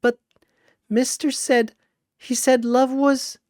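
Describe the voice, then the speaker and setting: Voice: sits high, level tone, slow, darkish tone, dry recording, studio quality, voice pushed up. A narrator voicing a childish, manipulative young girl fighter with pleading, insistent delivery in a dramatic battle story. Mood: weak, confused.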